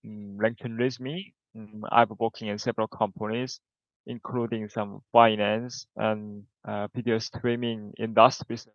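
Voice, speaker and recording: A man's voice: lowish voice, little pitch movement, a little slow, no echo. A man answering job interview questions over a video call, hesitant, with short answers and long fillers. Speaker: job applicant. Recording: dull lo-fi video call audio.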